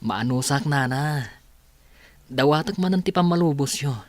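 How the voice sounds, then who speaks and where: An actor playing a persistent, earnest young suitor in an Ilocano radio drama, insistent and emotive, with an Ilocano accent. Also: male voice, a little high, moderate pace, lightly acted. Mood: ardent, pleading.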